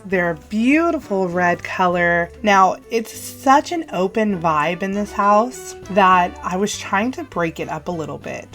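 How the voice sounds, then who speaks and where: A young woman from Texas with a light twang talks over a soft music bed. Her voice is hoarse from being sick, and she chats in a friendly, animated way, like she's talking to friends, and now and then she trips over her words. Her pitch sits in the middle for a woman. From Texas, USA. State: hoarse from a cold. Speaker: YouTube creator. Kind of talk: casual voice-over commentary on a game build.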